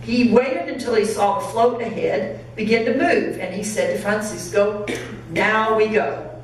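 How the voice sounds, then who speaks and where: A woman pastor reading a story aloud expressively and deliberately to listeners in a large church. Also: mid-range voice, darkish tone. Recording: very echoey church, distant mic, faint noise.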